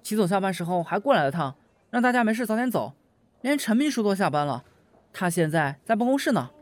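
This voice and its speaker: A young male office worker played by a man chatters away in an audio drama. His voice is high and very animated. He is teasing, eager and a bit nosy. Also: fast talker. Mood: eager, insistent. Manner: chatty, playful.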